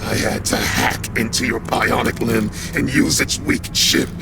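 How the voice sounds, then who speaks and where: An actor voicing an arrogant AI parasite villain in a video game, gravelly and breathy, menacing and theatrical. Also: male voice, medium pitch, fairly even intonation, measured pace. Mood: bitter, weary. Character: arrogant male AI parasite villain in a powerful body. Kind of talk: dramatic video game cutscene acting. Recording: loud music under the voice.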